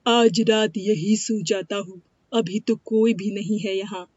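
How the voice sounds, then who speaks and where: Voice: medium pitch, fairly even intonation, measured pace, darkish tone, husky, dry recording. A performer voicing a poor, humble cobbler boy in a story, polite and plaintive. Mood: forlorn. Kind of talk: animated moral-story dialogue. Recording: dry, dull lo-fi studio sound.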